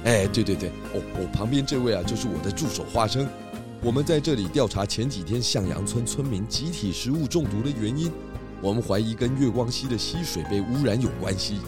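A performer playing a calm pig detective. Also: medium pitch, fairly quick, lightly acted. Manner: warm, patient, reassuring. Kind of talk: children's radio drama. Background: background music.

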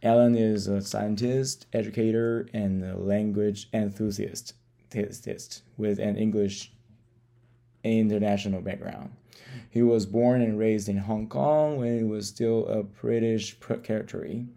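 Man, podcast host, creaky voice, dark tone, halting delivery, repeated words, interview style. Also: lowish voice, steady tone. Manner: halting, stumbling over words and repeating phrases. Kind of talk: interview, reading a guest introduction then asking questions.

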